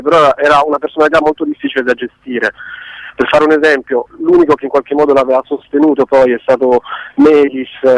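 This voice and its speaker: A man's voice: medium pitch, vocal fry, dry recording, rough recording. A man giving an informal interview, explaining reflectively and often circling back to rephrase. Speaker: interviewed documentary co-director.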